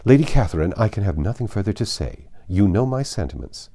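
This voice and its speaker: A man voicing a resolute, self-possessed young gentlewoman in an audiobook, speaking formally and firmly. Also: on the low side, very animated, a little fast. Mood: composed, resolute. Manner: firm, formal, articulate. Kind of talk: dramatized audiobook reading.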